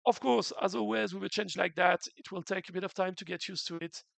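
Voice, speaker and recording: A man's voice: sits high, fairly even intonation, brisk, gravelly, no room sound. A man hosting a game's community stream, explaining updates in an earnest, casual way with many restarts; his throat is a bit sore after the flu. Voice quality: unusual voice, in his words.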